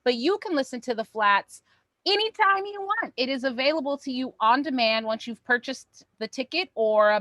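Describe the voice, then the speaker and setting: A woman's voice: high-pitched, fairly bright tone, no room sound. A woman co-hosting a theatre company's online show, giving casual, upbeat announcements to viewers in an informal way.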